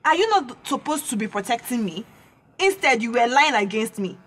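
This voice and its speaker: Indignant young female student, Nigerian accent, lively swooping pitch, confrontational, radio drama. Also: normal speed. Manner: sharp-tongued, confrontational. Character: feisty, indignant young female student standing her ground.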